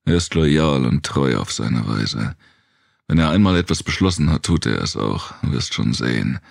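A man voicing a weary, guarded male wanderer in an audiobook, low-key and hesitant in manner. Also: expressive, normal speed, creaky, no room sound, studio quality, full character voice. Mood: defensive, resolute.

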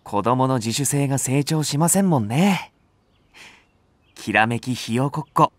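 A man's voice: medium pitch, lively intonation, a little slow, no room sound, lightly acted. A man voicing an earnest young rookie nursery teacher in a voice drama, polite and enthusiastic. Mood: eager. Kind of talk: Japanese voice drama acting.

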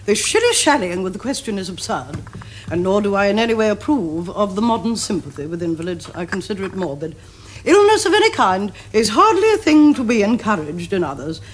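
Actress as imperious Victorian aunt, noisy soundtrack. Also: female voice, mid-range voice, very animated, lightly acted. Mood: imperious disapproval. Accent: upper-class English. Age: middle-aged. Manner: stately, deliberate pronouncements. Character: imperious, haughty Victorian aristocratic aunt, formidable and disapproving. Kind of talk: period stage comedy acting. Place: furnished drawing room set. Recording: noisy old television soundtrack.

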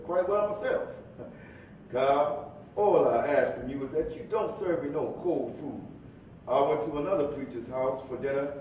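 A man's voice: dark tone, lightly acted. A man in a staged reading playing a playful, teasing and slightly jumpy preacher in bantering dialogue. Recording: echoey hall, distant, faint rumbling noise.

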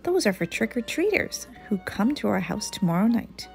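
A woman's voice: medium pitch, very animated, moderate pace, vocal fry, a little mellow, soft background music. A woman voicing a sensible, patient mother bear in a children's storybook. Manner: patient, instructive.

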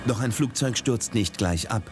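A man narrating a documentary in a measured, grave scripted voice-over. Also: medium pitch, background noise. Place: voice-over studio. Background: dramatic documentary music.

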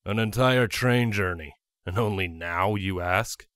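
A man's voice: on the low side, unhurried, fairly bright tone, dry recording, studio quality. Man as a wry pony guard captain. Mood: amused. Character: wry, good-natured male pony guard captain, newlywed husband.

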